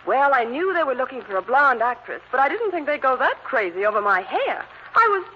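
An actress playing a vain, excitable young stage beauty in an old-time radio drama. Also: female voice, sits high, fairly quick. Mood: excited, self-pleased. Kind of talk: 1940s radio drama acting. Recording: muffled, noisy old radio recording.

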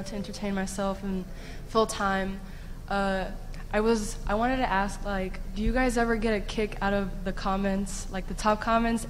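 A young woman casually asking a question from the audience at a panel. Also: mid-range voice. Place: audience of a conference hall. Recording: echoey hall with clear background noise.

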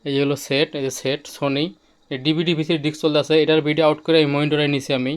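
A man's voice: fairly high pitch, little pitch movement, fast talker, slight background noise. A man with a Bangladeshi accent giving a casual product demonstration as a shop seller, explaining hands-on and repeating himself. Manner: repetitive, hands-on showing and explaining. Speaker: electronics shop owner and seller. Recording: music playing from demonstrated player. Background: music from a player.

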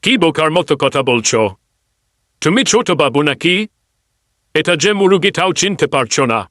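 A man's voice: fairly high pitch, lively intonation, normal speed, fairly bright tone, dry recording, studio quality. A man voicing a sly, boastful leader of swindlers in a cartoon, bossy and condescending. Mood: scornful. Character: sly, scornful, boastful male ringleader of swindlers. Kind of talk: cartoon dubbing.

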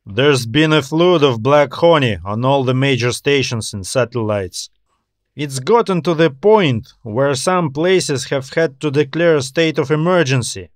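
A man's voice: medium pitch, lively intonation, a little slow, no room sound, studio quality, lightly acted. A man voicing a tired, anxious customs officer in an audio story, talking in a weary, griping way.

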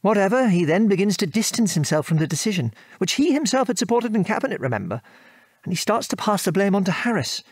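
A British war veteran father in an audio drama. His voice sits a little high for a man, recorded close and dry. He reminisces frankly and articulately, with old-fashioned phrasing and an aggrieved edge. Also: very animated, fast talker, husky, no echo, full character voice. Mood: bitter, indignant. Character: former RAF bomber airman, reminiscing father, frank and aggrieved.

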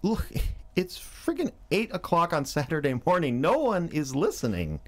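An actor playing a fumbling, defiant young radio DJ in an audio drama, stammering and sardonic. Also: male voice, fairly high pitch, wildly swooping pitch, moderate pace. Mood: flippant, protesting. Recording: clear background noise.

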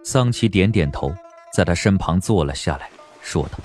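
A man's voice: deep voice, animated, moderate pace, faint music behind. A man giving dramatic scripted narration for a historical audio drama, as an audiobook narrator.

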